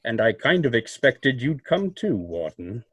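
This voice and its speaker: An actor playing a knowing crime-fighting avenger in old-time radio drama style, speaking in a measured, formal, commanding way. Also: male voice, medium pitch, very animated, husky, no echo. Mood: accusing, assured. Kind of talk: 1940s radio drama acting.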